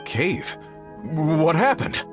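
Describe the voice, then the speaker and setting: A man's voice: on the high side, very animated, extremely slow, music in the background, heavily acted. An actor playing an earnest, polite leader of a rescue party in a video game, slightly hesitant in his speech. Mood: puzzled. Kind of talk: scripted video game voice acting.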